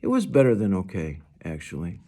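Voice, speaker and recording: A man's voice: sits low, wildly swooping pitch, a little fast, mellow, heavily acted. A man voicing a sullen, tired young boy, guarded and reluctant, in a storybook. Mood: subdued.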